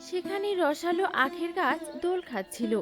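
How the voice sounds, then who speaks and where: A woman's voice: extremely high pitch, animated, normal speed. A woman narrating a scripted cartoon story, with music playing behind her.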